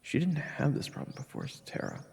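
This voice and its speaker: A man's voice: brisk, darkish tone, long reverb tail, close to an everyday voice. A man playing a casual, wry human adventurer in an audio roleplay, telling his story in the first person. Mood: uneasy, reflective. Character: weary, uneasy one-handed male human adventurer.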